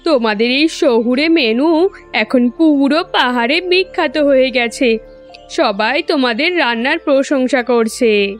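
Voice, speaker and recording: Woman voicing a slow, kindly village elder. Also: high-pitched, faint music behind. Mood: approving.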